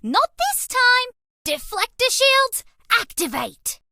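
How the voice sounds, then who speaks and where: Very squeaky, bubbly alien mouse girl. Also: big pitch swings, slow pace, bright, forceful tone, no echo, studio quality, full character voice. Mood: startled, giggly. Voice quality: squeaky, girlish.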